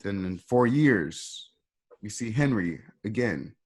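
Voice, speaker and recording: A man's voice: on the low side, expressive, slow pace, a little mellow, breathy edge. A man reading scene directions as the narrator of a scripted drama.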